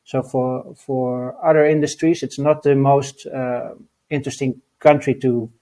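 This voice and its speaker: This is a man with a Dutch accent, a guest in an interview. His speech stays within a narrow, flat pitch band. He hesitates a lot, with fillers and restarts between thoughts. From Netherlands. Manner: halting, many fillers and restarts. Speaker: guest, mining entrepreneur. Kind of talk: interview answers.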